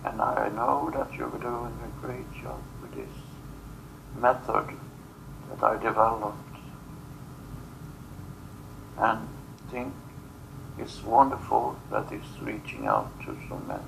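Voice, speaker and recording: Man, non-native English speaker, slow trance channeling, halting fragments, deep vocal fry, noisy recording. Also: sing-song, a bit bright. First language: not English. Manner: halting, fragmented phrasing with long pauses. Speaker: subject of a channeling session. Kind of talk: trance channeling in guided session.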